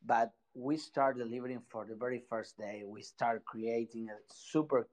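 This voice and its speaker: A man from Argentina with an Argentine Spanish accent, a project founder guesting on an online audio chat, speaking halting English and searching for words. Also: mid-range voice, fairly even intonation, a little mellow.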